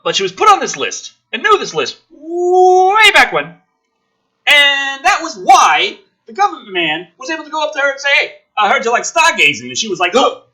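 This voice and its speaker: A man doing a ranting commentary as he reads through a script, exasperated and heavily profane, breaking into shouted outbursts. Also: very high voice, huge pitch swings, moderate pace, poor audio. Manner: swings between muttered reading and shouted outbursts. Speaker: host of a gaming and film commentary channel.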